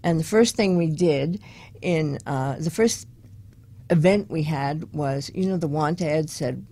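Older woman, low, gravelly, husky voice. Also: measured pace, warm tone. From Long Island, New York, United States. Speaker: interview guest, women's activist. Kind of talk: casual autobiographical storytelling.

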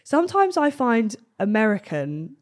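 A woman's voice: a little high, sing-song, a little slow. A woman from England hosting a podcast, chatting casually and cheekily, swearing and laughing often. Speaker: podcast host. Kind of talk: casual chat. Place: podcast studio.

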